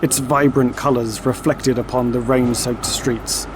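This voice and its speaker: A man giving scripted, dramatic narration for an audio story in a measured way. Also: medium pitch, little pitch movement, background noise. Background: music and sound effects.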